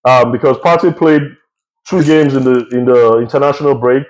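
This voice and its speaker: A man's voice: mid-range voice, normal speed, low-quality recording. A man co-hosting a football podcast in casual chat, emphatic and fond of repeating his catchphrases. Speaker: podcast co-host.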